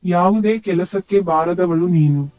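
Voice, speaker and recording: A man acting as a young farmer husband in a cartoon folk tale. His voice is fairly high and sounds thin, like a phone line, over faint music. He is blunt and belittling. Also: measured pace, darkish tone. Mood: scornful. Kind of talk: dubbed animated moral story.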